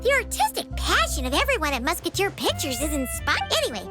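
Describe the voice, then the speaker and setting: A woman voicing a tiny, excitable, childlike fairy companion in a video game, chirpy and exclamatory. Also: very high voice, quick pace, loud music behind the voice, heavily acted. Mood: excited, curious. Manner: chirpy, exclamatory, speaks of self in third person.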